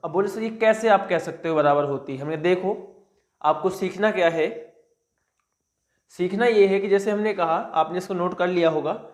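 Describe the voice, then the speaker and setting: A man teaching a commerce lesson. He reads out definitions slowly, then explains them step by step and speaks straight to his students. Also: sits high, reverberant. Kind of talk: classroom lecture.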